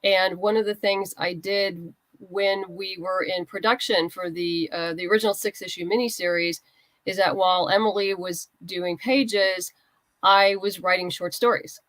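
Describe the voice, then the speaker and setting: A woman's voice: fairly low pitch, fairly even intonation, moderate pace, fairly bright tone, dry recording, low-quality recording. A woman speaking conversationally as an interview guest, explaining her own creative work as a writer.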